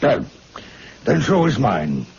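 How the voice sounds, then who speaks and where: Very gravelly, crafty, jovial senior doctor. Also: male voice, medium pitch, huge pitch swings, slow pace, darkish tone, exaggerated voice. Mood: hearty. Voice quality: gravelly, creaky. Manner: hearty, repeats phrases, chuckling. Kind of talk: old-fashioned radio drama acting. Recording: dull, noisy old radio recording.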